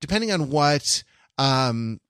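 Halting man in a dry, close recording. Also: a little high, expressive, fairly bright tone, clean tone, no echo. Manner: halting, circling toward his point. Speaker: podcast co-host. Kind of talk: casual podcast chat.

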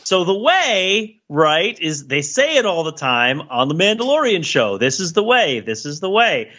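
A man's voice: fairly high pitch, sing-song, a little slow, pushed and bright. A man on a casual comedic discussion podcast, analytical and dryly joking, on a slightly lo-fi recording. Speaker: podcast panelist.

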